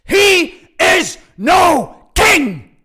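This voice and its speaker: A man's voice: extremely high pitch, sing-song, very slow, pushed and bright, pitched above the performer's own voice, full character voice. A man voicing an enraged middle-aged nobleman who bellows and roars in grief. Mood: raging.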